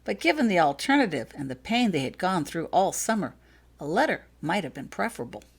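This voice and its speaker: Woman narrating fiction, lower-pitched and animated. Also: brisk, husky. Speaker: author reading own fiction. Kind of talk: scripted audiobook narration.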